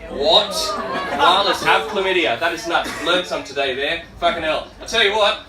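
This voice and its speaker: A man's voice: on the high side, normal speed. A man from Australia with an Australian accent doing stand-up comedy to an audience, informal and sweary, chatting with the crowd in asides. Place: live comedy venue with an audience. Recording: echoey, noisy room, distant microphone.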